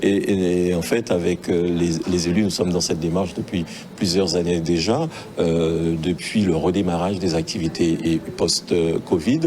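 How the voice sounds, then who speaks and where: Official guy, really deep voice, keeps repeating nous sommes fiers-style phrases, emphatic. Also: fairly even intonation, moderate pace, hardly any pauses, a little mellow. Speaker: local official. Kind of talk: formal speech to press.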